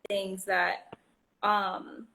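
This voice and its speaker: A woman's voice: mid-range voice, little pitch movement, extremely slow, gravelly, sharp tone, slight background noise, poor audio. A woman in her late twenties talking as a guest in casual chat, loose and heartfelt with many likes and restarts. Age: 20s.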